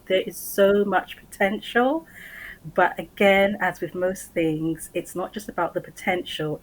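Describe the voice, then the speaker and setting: A woman's voice: on the low side, low-quality recording. A woman in her forties, an author speaking as a guest on a TV interview over a video call, talking in an articulate, earnest way. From Barbados and London. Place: small room at home. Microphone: headset on video call.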